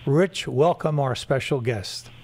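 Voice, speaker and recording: A man's voice: mid-range voice, slow, darkish tone, breathy edge. A man hosting a talk broadcast, earnestly promoting an initiative with many fillers. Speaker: radio talk show host.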